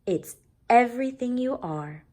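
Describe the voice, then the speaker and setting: A woman's voice: a little high, lively intonation, unhurried, mellow, voice pushed up. A woman voicing a doting, reassuring mother from a storybook, in an emphatic, gushing way.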